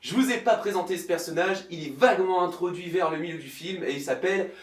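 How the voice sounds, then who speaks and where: A man's voice: high-pitched, quick pace, roomy sound, distant mic. A man giving a sarcastic, exasperated comedic film review in colloquial language. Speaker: film reviewer, video host. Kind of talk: comedic film review commentary, recapping a plot.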